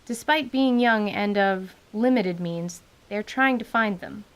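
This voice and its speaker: Woman narrator, game master, scripted storytelling, wry, mid pitch. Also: measured pace.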